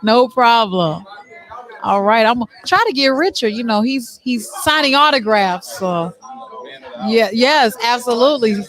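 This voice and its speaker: A woman hosting an on-location interview show, chatting in an animated, playful, casual way. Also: on the high side, measured pace, poor audio. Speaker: talk show host. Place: busy venue backstage after a stage play. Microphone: microphone with headphone monitoring.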